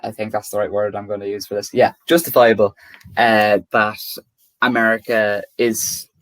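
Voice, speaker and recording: A man's voice: lowish voice, flat delivery, a bit bright, no echo. A young Irish man co-hosting a podcast, rambling through his points with many restarts and poking fun at himself. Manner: rambling, self-mocking, halting restarts. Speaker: podcast co-host. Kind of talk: casual chat.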